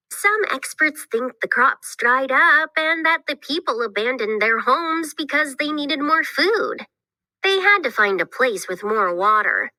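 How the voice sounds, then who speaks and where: Woman voicing a bright, animated, eager young girl explorer. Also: sits high, dry recording, full character voice. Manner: animated, explanatory, enthusiastic. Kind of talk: scripted read-aloud dialogue for children.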